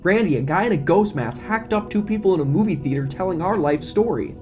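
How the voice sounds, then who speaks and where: A man's voice: sits high, animated, fairly quick, a little mellow, soft background music, voice pushed up. A man voicing a troubled, earnest young woman student who pleads insistently in an audio drama. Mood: urgent.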